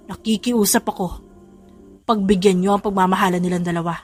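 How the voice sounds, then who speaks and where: A woman's voice: mid-range voice, fairly even intonation, brisk, natural voice. A humbled older vampire father, solemn and pleading, read by a woman narrator. It is played as earnest audio drama over background music. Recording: music behind the voice.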